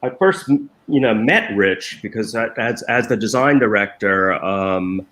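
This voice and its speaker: Low-voiced hesitant man, echoey room. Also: lively intonation, measured pace, a bit bright. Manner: hesitant, many ums and restarts. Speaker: former design director of a newspaper. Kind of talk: conversational interview answers.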